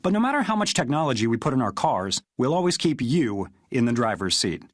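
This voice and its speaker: A man's voice: mid-range voice, very animated, a little fast. A man narrating a scripted car commercial. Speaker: commercial announcer. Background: music bed.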